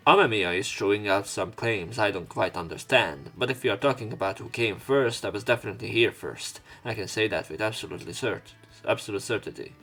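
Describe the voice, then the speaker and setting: A low-pitched man narrates a visual novel as a let's play host over clear background music. He reads the text aloud, adds casual remarks, and trips on words before fixing them. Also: fairly quick, hardly any pauses, bright, forceful tone. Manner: stumbles over words and corrects himself. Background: game music behind the voice.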